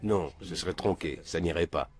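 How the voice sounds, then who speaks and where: A man's voice: low voice, moderate pace, a crowd in the background. A man answering interview questions in a matter-of-fact, assured way.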